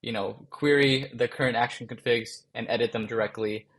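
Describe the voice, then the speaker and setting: Gravelly man giving a casual tutorial. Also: medium pitch, fairly even intonation, moderate pace, fairly bright tone. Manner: casual, many fillers and restarts. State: voice going rough from a long day of calls. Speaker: workshop presenter. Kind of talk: screen-share coding tutorial.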